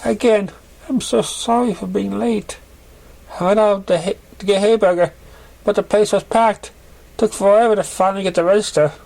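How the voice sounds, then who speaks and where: A friendly, chatty mare from a pony storybook, voiced by a man, speaks in a raised, high pitch. She sounds like an easygoing girl among friends. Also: steady tone, moderate pace, gravelly, darkish tone, rough recording. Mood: apologetic.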